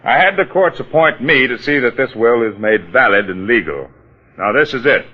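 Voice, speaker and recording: On a dull, muffled old radio recording, a police detective with a medium-pitched voice. He is calm and methodical, delivering his lines in the measured manner of forties radio drama. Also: breathy edge. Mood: formal. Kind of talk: 1940s radio acting.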